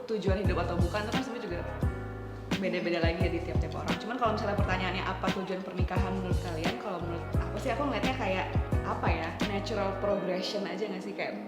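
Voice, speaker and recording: A woman's voice: medium pitch, fast talker. A woman in her twenties with an Indonesian accent, chatting in a group discussion with English phrases mixed in. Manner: mixes English phrases into casual speech. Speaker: panelist. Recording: roomy, loud music underneath. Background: loud background music.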